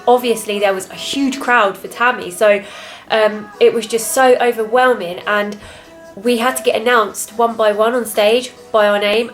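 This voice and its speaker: A young woman with a British accent and a soft, quiet voice, chatting candidly and a little reservedly as a fitness vlogger. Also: on the high side, poor audio. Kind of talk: casual confessional chat to camera.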